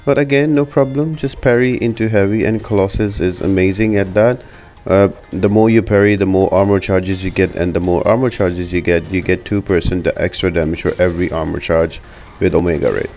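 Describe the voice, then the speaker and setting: A man's voice: low voice, fairly even intonation, a little fast, darkish tone. A man giving casual, explanatory walkthrough commentary for a video game as the host of a guide.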